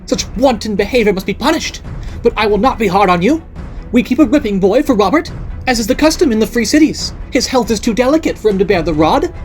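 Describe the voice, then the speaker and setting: Woman voicing a shrill unhinged noblewoman. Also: animated, fast talker, a little mellow, soft background music, lightly acted. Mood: accusing. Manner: shrill, accusing, ranting. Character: unhinged jealous middle-aged noblewoman aunt. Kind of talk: audiobook character voice.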